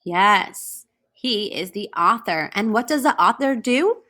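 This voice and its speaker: Bright-voiced woman reading a storybook slowly. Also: mid-range voice, lively intonation. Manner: deliberate, pausing to question young listeners. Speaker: teacher reading aloud to children. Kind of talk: read-aloud storybook narration.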